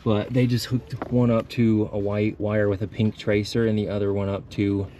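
A man's voice: lowish voice, level tone, moderate pace, mellow, a little hiss or hum. A young man, a mobile mechanic, talking casually to the camera, venting about his work and explaining repairs step by step.

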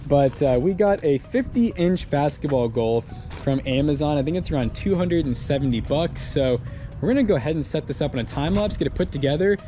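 A man's voice: on the high side, expressive, brisk, mellow, soft background music. A young man hosting a casual DIY vlog, laid-back and joking as he talks outdoors. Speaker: host. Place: outdoor paved lot beside a building.

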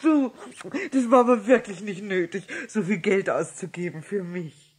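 An actress playing a gentle, modest, soft-spoken mother of a young boy in a 1960s radio play. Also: medium pitch, normal speed, natural voice. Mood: touched. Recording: slightly noisy old radio-play record.

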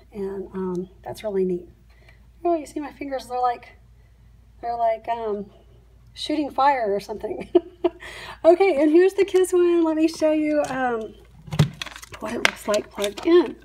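A woman in her forties, a reseller, chatting casually and excitedly to her phone camera about thrift finds, laughing often. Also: high-pitched, recorded from a distance. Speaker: reseller vlogger. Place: parked car, later a dim room at home. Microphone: phone camera at arm's length. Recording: phone mic held a bit away, noisy.

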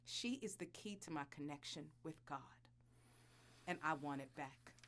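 An actress playing a bitter, devout wife in an audio drama, intense and deliberate in her delivery. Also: sits low, fairly bright tone. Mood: weary, anguished. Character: bitter, anguished wife and reluctant adoptive mother, devout. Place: small recording studio. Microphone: studio condenser mic.

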